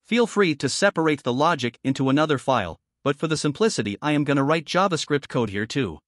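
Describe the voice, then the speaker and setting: A man's voice: a little high, lively intonation, fast, dry recording, clean studio sound. A man presenting a programming tutorial in scripted step-by-step narration.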